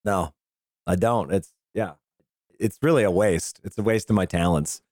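A middle-aged man with a hoarse voice, casually bantering and swearing as a podcast host. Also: low voice, normal speed, a little mellow, dry recording, clean studio sound.

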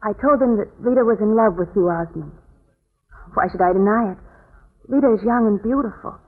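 Female actor as poised lady suspect, insistent, earnest, emphatic, vintage radio mystery melodrama, muffled narrow-band sound, rough-edged tone. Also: mid-range voice, brisk, lightly acted. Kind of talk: 1940s radio melodrama acting. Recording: muffled, tinny 1940s radio broadcast.

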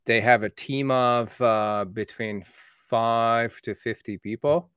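Agency owner type, man in his 30s, plain steady delivery, dry close mic. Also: mid-range voice, slow pace, clean tone, no echo. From born in Iran, raised in Canada. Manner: steady, matter-of-fact storytelling. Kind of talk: casual conversation.

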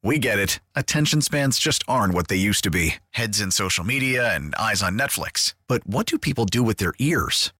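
A man reads a scripted advertisement in a conversational style. His voice is fairly low and raspy, captured dry and close like a voice-over. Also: animated, normal speed, hardly any pauses, sharp tone, dry recording.

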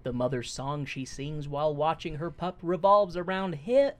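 A man's voice: fairly high pitch, moderate pace. A man co-hosting a podcast in casual banter. His voice is light and boyish. He reads story text aloud and keeps breaking off for crude, mocking jokes. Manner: joking, swears freely, reads the story aloud with mocking asides. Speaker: podcast co-host. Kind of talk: casual comedic recap chat.

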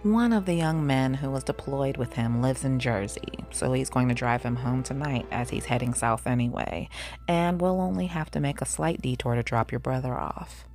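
A woman's voice: extremely low pitch, normal speed, darkish tone. A woman voicing a middle-aged mother in an audiobook, chatty, blunt and insistent. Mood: chatty, fussing. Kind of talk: audiobook character voice.

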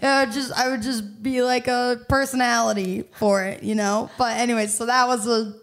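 A young woman speaks with a somewhat high voice, telling her story openly and with animation. She laughs easily as she talks through a casual interview. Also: steady tone, normal speed, vocal fry. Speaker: singer-songwriter guest.

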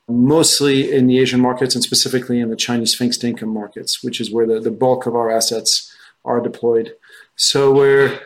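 Middle aged french guy as interview guest, native french speaker, medium pitched voice, chatty rambling anecdote style. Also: low-quality recording. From France. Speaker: guest, investment research executive. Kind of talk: conversational interview answers.

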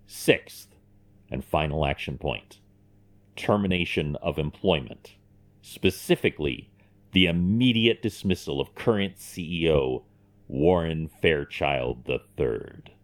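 A man plays a cool billionaire businessman in an audio drama. His low voice moves expressively, making each point with deliberate authority and a wry edge. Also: expressive, exaggerated voice. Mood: cold, firm.

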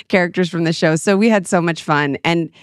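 A woman co-hosting a podcast in casual chat, gushing and giggly, with quick asides. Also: fairly low pitch, smooth, no room sound, clean studio sound. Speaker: podcast co-host.

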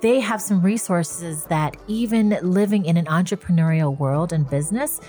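A woman's voice: fairly low pitch, normal speed, mellow, soft background music. A woman hosting a podcast interview, upbeat and enthusiastic as she introduces and questions her guest. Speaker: podcast host. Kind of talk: read intro, then casual interview questions.

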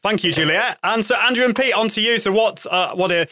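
A man hosting a live-stream podcast, chatty and lively, often restarting phrases and laughing. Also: high-pitched, fairly quick, no room sound, clean studio sound. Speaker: podcast host. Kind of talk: casual live-stream interview.

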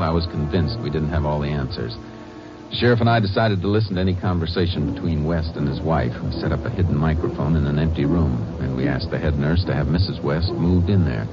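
An actor playing a calm, steady Texas Ranger in a radio crime drama, speaking in a measured, matter-of-fact way. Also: male voice, very deep voice. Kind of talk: 1950s radio crime drama acting with narration. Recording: muffled old radio broadcast with music.

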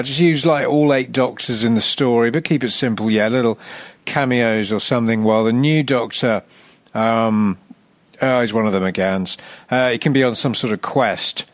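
A man's voice: mid-range voice, no room sound, natural voice. A man voicing a chatty, vague publishing executive in a phone call, rambling and hesitant with plenty of ums and yeahs.

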